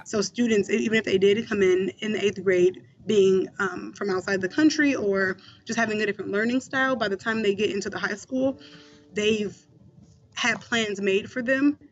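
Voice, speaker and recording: A man's voice: sits high, normal speed, lo-fi sound. A man working as a school mentor, answering interview questions in a conversational way with many fillers and restarts.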